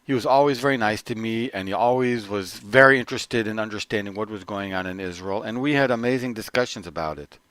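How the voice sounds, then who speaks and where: A middle-aged man giving an earnest personal testimony, often halting and restarting his phrases. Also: lowish voice, a bit bright, no echo. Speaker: corporate trainer.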